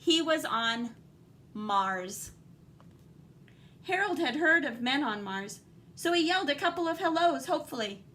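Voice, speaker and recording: High-voiced woman reading aloud to children. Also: measured pace, long pauses, slight background noise. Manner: animated, dramatic emphasis, guiding and questioning. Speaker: teacher reading a picture book aloud. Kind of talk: expressive children's read-aloud with asides to young listeners.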